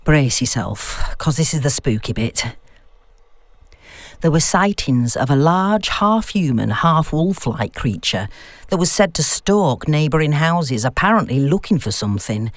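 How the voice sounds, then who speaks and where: A woman's voice: sits low, animated, unhurried, dry recording. A woman voicing a knowing, unflustered female academic who tells spooky tales in an audiobook story. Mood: eerie storytelling. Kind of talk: audiobook dialogue.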